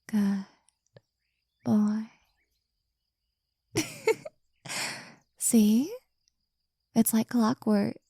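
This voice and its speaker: A woman speaks very slowly in a soft, teasing whisper, as if leaning close to the listener. Her voice is mellow and husky with a gravelly fry, and the recording is dry and close. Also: medium pitch, no room sound. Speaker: audio roleplay performer. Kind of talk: intimate scripted audio roleplay.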